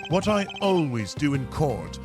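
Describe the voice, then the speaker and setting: A composed video game prosecutor, played by a man, haughty and exact. His slightly high male voice moves expressively through formal, deliberate, analytical lines, over clearly audible music. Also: big pitch swings. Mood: confident, instructive.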